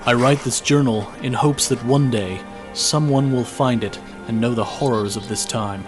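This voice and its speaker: A man's voice: mid-range voice, close to an everyday voice. A man as a weary young conscript soldier, music behind. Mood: guilty, bitter. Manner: measured, reflective, dramatic diary reading. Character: weary, guilt-ridden young male imperial conscript soldier. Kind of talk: scripted fantasy audio drama journal narration.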